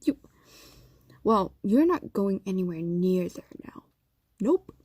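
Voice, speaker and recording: A woman voicing a possessive, evasive fox demon in an intimate audio roleplay, speaking softly and often dropping into whispers. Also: medium pitch, very animated, slow, no echo, clean studio sound. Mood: defensive, stern. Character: possessive, evasive female fox demon doting on captive human.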